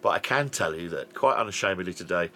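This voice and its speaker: A man's voice: low voice, lively intonation, fast talker, pushed and bright, a little hiss or hum. A middle-aged man presenting a chatty interview, enthusiastic and playful, chipping in often. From England.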